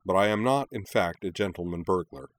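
A calm young man with wry, polite amusement, played by a male audiobook narrator. He speaks unhurriedly in a lowish voice, recorded very dry. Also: fairly quick, no room sound, lightly acted. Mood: wry, firm.

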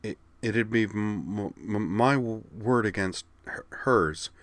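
A man voicing a stubborn, angry young woman student in an audiobook, with a heavy stammer and a halting, tight delivery. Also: lowish voice, dry recording, voice pushed up. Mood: tense, indignant.

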